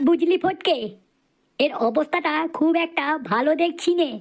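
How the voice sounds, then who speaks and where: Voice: very high voice, moderate pace, faint music behind. A performer voicing a crude, bossy hospital doctor in a comedy cartoon dub. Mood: grave, blunt. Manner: bossy, foul-mouthed.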